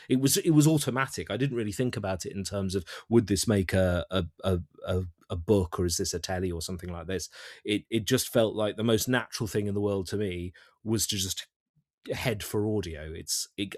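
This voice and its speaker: A man chatting as an interview guest about his creative work, thinking aloud haltingly and often repeating words. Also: on the low side, dry recording, clean studio sound. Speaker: audio drama writer-director, interview guest. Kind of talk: casual interview chat.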